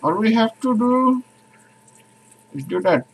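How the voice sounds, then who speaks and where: Man, high voice, casual cooking chatter, loses his words. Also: animated, takes it slowly, vocal fry, husky, low-quality recording. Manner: rambling, searching for words. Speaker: streamer.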